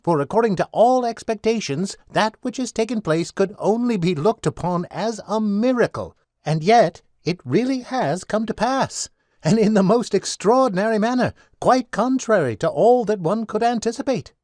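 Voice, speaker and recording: Pompous tutor, high voice, swooping intonation, fussy, earnest, long-winded, audiobook drama. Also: male voice, lively intonation, normal speed, a little mellow, no room sound, clean studio sound. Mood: amazed, pompous. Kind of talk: full-cast audiobook acting.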